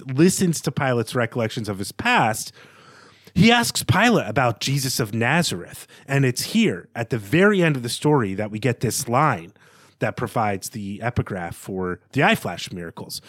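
Man, literary discussion, measured scholarly delivery, halting phrasing, medium pitch, dry close sound. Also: dry recording. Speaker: podcast co-host. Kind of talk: literary podcast analysis.

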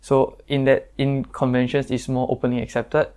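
A young man with a Singaporean English accent and a naturally deep voice, chatting casually as a guest, pausing often with fillers and restarts. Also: monotone, a little mellow, clean tone. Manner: hesitant, many fillers and restarts. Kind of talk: casual chat.

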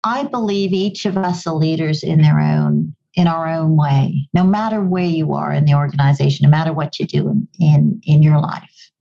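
A woman's voice: low-pitched, moderate pace, creaky, warm tone, lo-fi sound. A woman from Goa raised in Kenya, with a slight English-tinged Kenyan accent, speaking earnestly as a podcast guest and often restarting her words. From Goa, India; raised in Kenya. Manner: earnest, reflective, repeats words as she restarts. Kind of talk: conversational interview answers.

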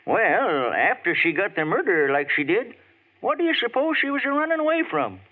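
Folksy small-town gossip, drawling, high and lively, on a tinny old radio recording. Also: male voice, fairly quick. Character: folksy small-town male gossip, chatty and plain-spoken. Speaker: radio drama actor. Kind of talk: 1940s radio acting.

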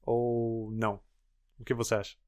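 A Brazilian man with a neutral Brazilian Portuguese accent, a podcast host asking questions in measured, searching phrases. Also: mid-range voice, flat delivery, clear, resonant tone. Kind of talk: interview questions.

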